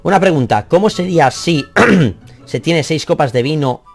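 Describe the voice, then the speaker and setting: A man's voice: fairly high pitch, animated, moderate pace, a little mellow, faint music behind. A young man whose first language is Catalan, reading viewer comments from a small office and answering them in a rambling, self-deprecating way, swearing casually. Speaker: math youtuber.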